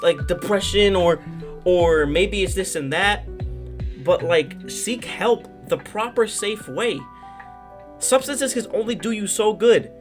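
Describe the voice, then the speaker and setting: A reaction host with a very animated, swinging voice speaks in casual slang over faint background music. The delivery is emphatic, often circling back to repeat a phrase. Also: medium pitch.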